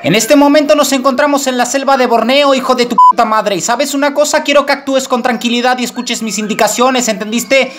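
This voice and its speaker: A man's voice: extremely high pitch, fairly even intonation, brisk, hardly any pauses, noisy background, pitched above the performer's own voice. A man voicing a crude, menacing Mexican extortion caller in a comedy prank-call sketch, with a put-on Mexican accent. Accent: Mexican Spanish (put on). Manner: threatening, swearing, mock-tough.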